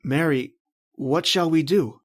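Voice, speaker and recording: A man's voice: fairly high pitch, slow. An actor playing an anguished married man trapped in prehistoric time, telling his horror in melodramatic old-time radio style. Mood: subdued, despairing. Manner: melodramatic, intense, repetitive narration.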